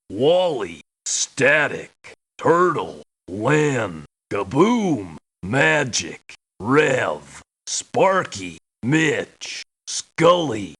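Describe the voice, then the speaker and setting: An actor playing a gruff mercenary in a video game, calling out single words in a gravelly, raspy voice. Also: male voice, mid-range voice, fairly bright tone, heavily acted. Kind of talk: video game character clips, isolated words.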